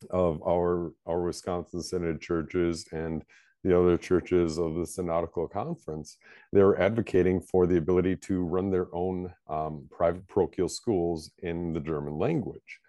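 A man's voice: deep voice, dark tone, dry recording, clean studio sound. A man who is a pastor and book editor, co-hosting a podcast in casual conversation, chatty with lots of fillers. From United States. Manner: chatty, many fillers, laughs often.

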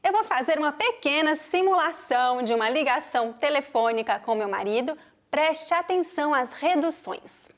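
High-voiced Brazilian woman teaching pronunciation. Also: lively intonation, a bit bright. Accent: Brazilian Portuguese, mixed regional. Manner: clear, deliberate explaining with spoken examples. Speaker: Portuguese teacher. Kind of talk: pronunciation lesson.

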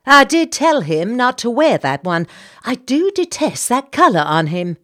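Insistent little society matron for audiobook, high voice, genteel, talkative, emphatic. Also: female voice, sing-song, a little slow, fairly bright tone. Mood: genteel, chatty. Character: petite, insistent, indignant Southern society lady, senator's wife. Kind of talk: audiobook character dialogue.

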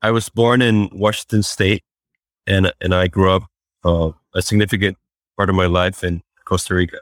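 A man being interviewed as a startup founder, answering in a halting way with fillers and restarts. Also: deep voice, little pitch movement, dry recording. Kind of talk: remote interview. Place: Fort Worth, Texas.

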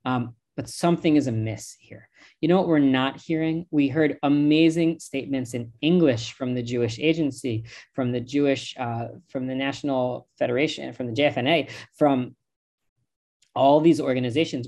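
A man's voice: medium pitch, a little mellow. A man, a rabbi with an American accent, speaking as an interview guest in an impassioned, emphatic way. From United States.

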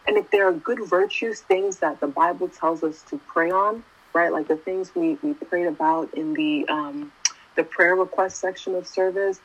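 A woman's voice: on the low side, normal speed, muffled recording. A woman in her twenties giving a casual sermon, talking conversationally and often asking "right?". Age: 20s. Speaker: youth preacher.

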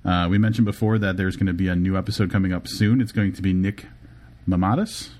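A man's voice: low voice, steady tone, a little mellow. A man co-hosting a podcast, cracking jokes and laughing easily. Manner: joking, quick to laugh. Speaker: podcast co-host. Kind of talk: casual podcast chat.

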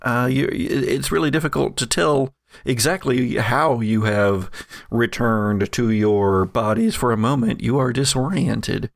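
A man narrating a gothic tabletop horror game as game master, measured in description and hesitant when he turns to the players. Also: fairly low pitch.

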